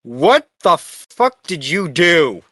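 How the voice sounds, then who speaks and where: A man's voice: fairly high pitch, big pitch swings, slow, bright, forceful tone, dry recording, studio quality. A man playing a tabletop game casually, narrating his character's moves with blunt talk and free swearing.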